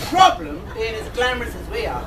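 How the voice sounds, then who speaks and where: A woman's voice: a little high, wildly swooping pitch, a little fast, crowd noise. A woman playing a brash, vain ugly stepsister in a pantomime, gushing and teasing to the audience, recorded from afar in a noisy, echoey room. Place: live pub stage show. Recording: noisy crowded room, echoey, distant mic.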